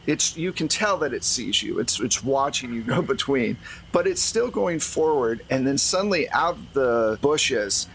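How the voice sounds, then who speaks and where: A man's voice: on the high side, fairly bright tone, slight background noise, poor audio. A man running a tabletop roleplaying game as game master, narrating and explaining in a conversational way.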